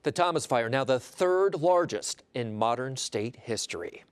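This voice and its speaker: A man's voice: mid-range voice, expressive, unhurried, clear, resonant tone, no room sound. A man with an American accent reading scripted news as a television anchor.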